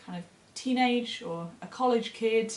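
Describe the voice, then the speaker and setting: A woman's voice: on the high side, a little slow, reverberant, rough recording. A woman co-hosting a casual book chat, speaking in an enthusiastic, conversational way as she gives recommendations. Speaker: book reviewer co-hosting short fiction recommendations.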